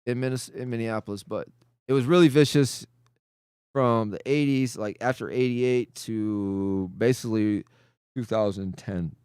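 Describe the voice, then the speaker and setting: A man, rambling and animated as he tells stories in a casual podcast chat. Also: medium pitch, measured pace, smooth, no echo, studio quality. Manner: rambling, animated, restarts a lot. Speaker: podcast guest, cannabis advocate.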